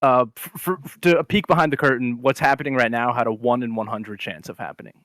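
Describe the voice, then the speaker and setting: Guy playing d&d, bright tense voice, lots of ums, dry close mic. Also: mid-range voice, quick pace, no echo. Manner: hesitant, with many ums and stammered restarts. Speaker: player. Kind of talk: playing a tabletop game.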